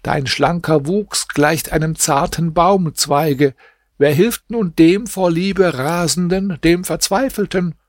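Male reader doing a wheedling old crone, lifted pitch, slow and dry. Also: no room sound. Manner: coaxing, pleading storyteller's delivery. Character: scheming old woman go-between, coaxing. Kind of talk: audiobook reading.

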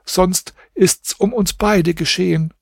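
A man's voice: sits high, slow pace, creaky, husky, no echo, studio quality, voice pushed up, exaggerated voice. A man voicing a grieving captive young princess who pleads with her husband in a mournful way. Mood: pleading.

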